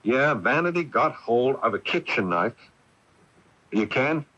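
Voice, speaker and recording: An actor playing an anxious, lovestruck sculptor in a radio drama, speaking in a halting, stammering way. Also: male voice, mid-range voice, expressive, bright, forceful tone. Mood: nervous pleading. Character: anxious, lovestruck bachelor sculptor pleading for help. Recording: dull lo-fi AM radio tape.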